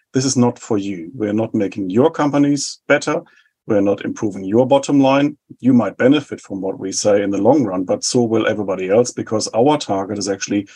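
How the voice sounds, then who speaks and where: A man in his forties from West Germany with a German accent, explaining public policy in measured, list-like answers as an interview guest. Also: on the low side, mellow, husky. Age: 40s. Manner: measured, explanatory, rhetorical lists. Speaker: think tank head, guest.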